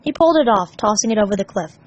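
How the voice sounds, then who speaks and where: A woman's voice: mid-range voice, lively intonation, fast talker, lo-fi sound. A woman narrating a scripted story as a fan fiction reader.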